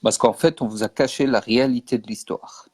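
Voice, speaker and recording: Male guest, from Belgium, earnest, insistent, repeats points, medium pitch, dry sound. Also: steady tone, brisk, darkish tone, slightly rough, no echo. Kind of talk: casual interview explaining history.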